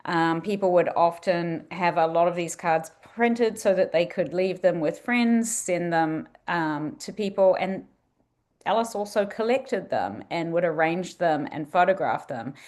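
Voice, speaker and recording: A woman's voice: lowish voice, expressive, unhurried, a little mellow. New Zealand-accented woman giving a talk. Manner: friendly, with frequent ums. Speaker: museum director giving a talk. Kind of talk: presentation.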